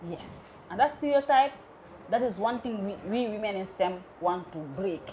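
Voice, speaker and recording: A woman's voice: medium pitch, lively intonation, normal speed, dark tone, slight background noise, rough recording. A woman, an electrical engineer speaking as a guest in a TV interview, earnest and emphatic. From The Gambia. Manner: earnest and emphatic, slipping local words into her English. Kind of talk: live TV interview.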